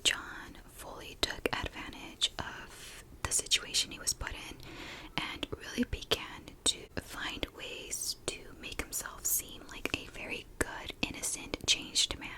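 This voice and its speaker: A woman telling a true crime story in a breathy ASMR whisper, with a soft, informal manner. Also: low-quality recording. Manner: soft, unhurried, informal narration.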